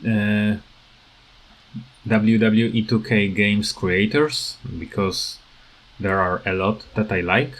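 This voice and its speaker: A tired man who is not a native English speaker, giving a casual unscripted rant in a halting, rambling way that repeats words. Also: fairly low pitch, steady tone. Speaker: gaming video creator.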